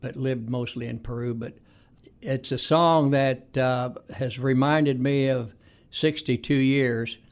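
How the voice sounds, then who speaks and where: A man's voice: medium pitch, steady tone, takes it slowly. A man in his eighties from Indiana, reminiscing as an interview guest in a plain-spoken, hesitant way. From Indiana, United States. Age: 80s. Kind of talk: conversational reminiscing interview answers.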